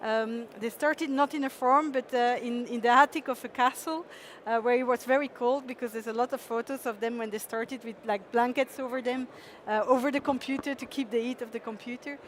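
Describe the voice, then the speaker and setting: A woman pitches her company on stage in a fairly high voice. She speaks haltingly and fills pauses with "uh" and "um". Faint traffic hums behind her. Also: steady tone. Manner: hesitant, frequent fillers, laughs easily. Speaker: company presenter. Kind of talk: startup pitch presentation.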